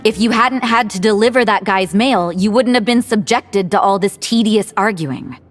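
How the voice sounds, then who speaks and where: A woman voices a cool young nun from a fantasy game, speaking at a medium pitch. She is dry, composed and irreverent, with faint music behind her. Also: normal speed, natural voice. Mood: amused, dry. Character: cool, worldly, irreverent young church sister. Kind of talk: video game voice acting. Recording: background music under the voice.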